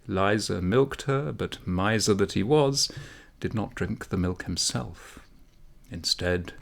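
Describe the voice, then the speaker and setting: A man reading a comic folk tale aloud as a storyteller, in a measured, expressive way. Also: on the low side, creaky. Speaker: audiobook reader, storyteller.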